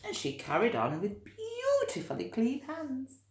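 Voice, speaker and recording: Woman, children's storyteller, slow unhurried delivery, very lively intonation, chatty asides. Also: high-pitched. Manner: expressive, gentle explaining for young children. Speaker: storyteller reading a picture book to children. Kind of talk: animated read-aloud storytelling with chatty asides. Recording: roomy, echoey room sound.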